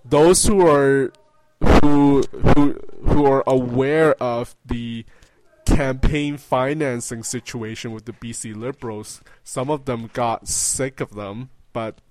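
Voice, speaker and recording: A man in a casual political discussion as an interview guest, speaking haltingly and restarting phrases as he builds his point. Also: medium pitch, fairly even intonation, darkish tone, no echo, low-quality recording.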